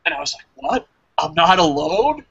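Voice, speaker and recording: A man's voice: fairly high pitch, sing-song, moderate pace, vocal fry, fairly bright tone, breathy edge, highs cut off. An American man chatting casually and emphatically about his opinions as a review show host, swearing freely. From New Jersey, United States.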